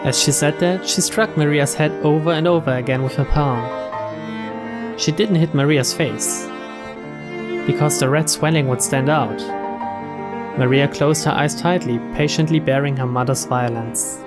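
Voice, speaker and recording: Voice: mid-range voice, normal speed. A narrator giving a scripted reading of a story from a visual novel. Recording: voice over clear string music.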